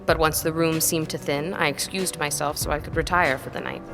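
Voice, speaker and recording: A low-voiced, careful governess. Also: female voice, steady tone, fairly quick, vocal fry. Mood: guarded. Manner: polite, careful, hesitant. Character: proper, guarded young governess recounting events. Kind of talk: period audio drama acting. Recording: loud music under the voice.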